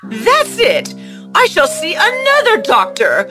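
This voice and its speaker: A woman playing an indignant older lady patient in a cartoon, with a shrill voice. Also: huge pitch swings, slow, over music, full character voice.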